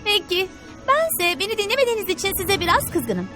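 Cautious clever cave girl, very high, lively swooping pitch, cartoon dub. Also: fairly quick. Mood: scolding. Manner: bossy, told-you-so. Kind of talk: cartoon dubbing. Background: background music.

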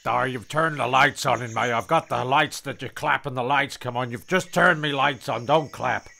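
A man's voice: mid-range voice, normal speed, creaky, bright, forceful tone, heavily acted. A man playing a flustered, exasperated narrator of a comic castaway audio serial, rambling and stumbling over his words.

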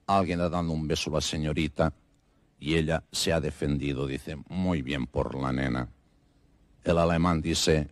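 Low-voiced man telling jokes. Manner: dry storytelling, peppered with repeated "digo". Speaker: stand-up comedian. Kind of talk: joke-telling monologue.

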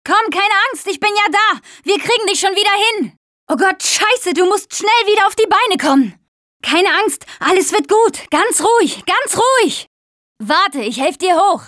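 A woman's voice: extremely high pitch, lively intonation, moderate pace, a bit bright, dry recording, clean studio sound. An actress playing a plucky, caring, tough-talking young woman surviving a zombie apocalypse in short video game voice clips. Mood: urgent, insistent.

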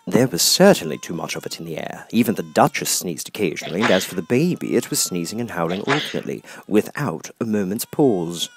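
A man reading a children's classic aloud as an audiobook narrator, in expressive storytelling style. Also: sits low, a little fast, hardly any pauses, husky.

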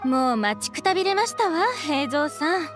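A young townswoman for an anime game. Her voice is extremely high, with polite, anxious, pleading delivery over faint music. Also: animated, normal speed, a bit bright. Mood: impatient. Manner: polite, pleading feminine speech. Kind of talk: video game voice acting.

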